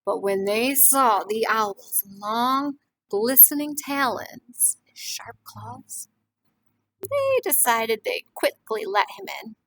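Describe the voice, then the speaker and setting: Higher-voiced woman reading a picture book aloud. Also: very animated, takes it slowly, a bit bright, low-quality recording. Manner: simple explanations, questions addressed to children. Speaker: early learning teacher reading aloud. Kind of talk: picture-book read-aloud with explanatory asides for young children.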